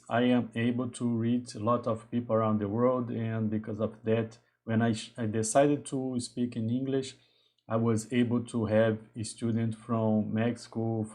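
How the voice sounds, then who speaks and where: A man in his thirties from Brazil with a Brazilian Portuguese accent, talking casually on a live stream in a halting way with frequent restarts. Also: medium pitch, mellow. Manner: halting, restarts often, greets viewers. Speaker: engineer hosting a live stream.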